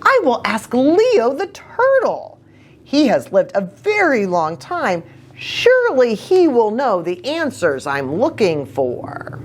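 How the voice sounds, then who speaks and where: A woman's voice: fairly high pitch, huge pitch swings, takes it slowly, voice pushed up, full character voice. A woman voicing an earnest, uncertain young boy who is wondering aloud in a children's storybook. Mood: hopeful.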